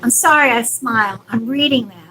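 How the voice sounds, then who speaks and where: A woman's voice: a little high, normal speed, bright, forceful tone, a little hiss or hum, poor audio. A woman hosting a live stream as a psychic medium, speaking casually and affectionately to viewers with reassurance and endearments.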